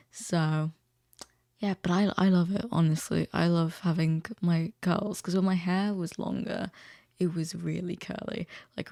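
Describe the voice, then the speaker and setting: A teenage man chats casually in a recently deepened voice with a gravelly crackle. His tone is dark and relaxed, recorded dry and close. He rambles a little and interrupts himself as he goes. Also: dry recording. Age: teens. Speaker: vlogger. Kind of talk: casual chat to camera.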